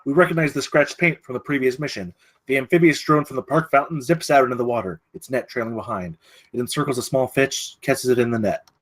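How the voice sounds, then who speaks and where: A man's voice: medium pitch, fast, fairly bright tone. Man narrating a screenplay aloud. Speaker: narrator reading stage directions. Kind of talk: screenplay table read narration.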